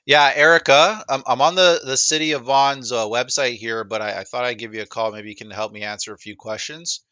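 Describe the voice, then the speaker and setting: A man's voice: mid-range voice, brisk, bright, forceful tone, smooth, no echo. A man giving a casual, unscripted demonstration, often breaking off and repeating words as he goes. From Canada, greater Toronto area. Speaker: presenter of a product demo, acting as a caller.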